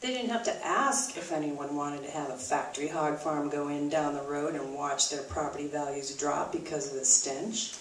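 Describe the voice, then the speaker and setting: A woman with a slightly low voice narrates a puppet show like a wry storybook tale. She is recorded from a distance in an echoey room. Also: moderate pace, few pauses. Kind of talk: scripted storybook-style narration. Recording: roomy, distant microphone, faint noise.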